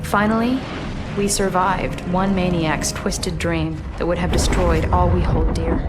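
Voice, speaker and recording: A woman plays an earnest reporter delivering scripted, dramatic narration. Her mid-pitched voice is measured and solemn, with emphatic phrasing. Very loud music swells behind her. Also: natural voice.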